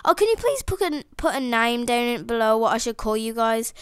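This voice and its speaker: A woman's voice: fairly high pitch, very animated, moderate pace, clean tone, dry recording, studio quality. A teenage girl with a cold chatting in a rambling way, full of fillers and restarts, into a headset mic at home. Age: teens. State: has a cold, sniffly. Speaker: toy video maker. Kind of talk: rambling casual outro chat. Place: indoors at home.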